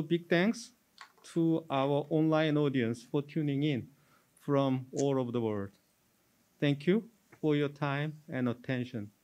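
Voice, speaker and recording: A man's voice: mid-range voice, mellow, dull audio. Formal Korean-accented male moderator. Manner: measured, formal diplomatic phrasing. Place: conference stage. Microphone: standing stage mic.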